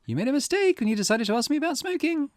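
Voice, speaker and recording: A man's voice: extremely high pitch, sing-song, rapid-fire, a little mellow, dry recording, studio quality, pitched above the performer's own voice. A man voicing a brash, confrontational young woman in a visual novel, taunting and bluntly pressing with pointed questions. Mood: taunting, triumphant.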